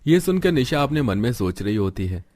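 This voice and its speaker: A man's voice: mid-range voice, very animated, a little fast, a little mellow. A man reading scripted narration for a family cartoon story.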